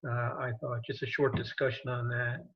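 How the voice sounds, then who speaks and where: Man, husky voice, hesitant participant on a video call, muffled lo-fi sound. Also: mid-range voice, flat delivery. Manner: hesitant, halting with fillers. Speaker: meeting participant. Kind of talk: casual feedback. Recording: dull lo-fi call audio.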